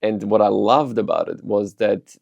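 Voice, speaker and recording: A man in his thirties, from Austria, talks through his career in a loose, rambling, storytelling way. His voice is low and gravelly, with a lot of fry. The sound is dry and close, with no room echo. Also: moderate pace, lo-fi sound. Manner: relaxed storytelling, many ums and restarts. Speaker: startup CEO, guest. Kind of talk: casual interview answers.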